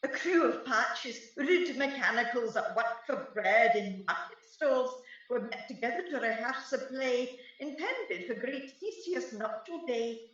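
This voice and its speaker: Soaked in echo and a little distant, this is a mischievous fairy sprite acted by a woman in a radio Shakespeare play. The high voice swings freely up and down, relishing every line of verse with gleeful theatricality. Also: lively intonation, unhurried, hardly any pauses, a bit bright. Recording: very reverberant, distant, slightly dull sound.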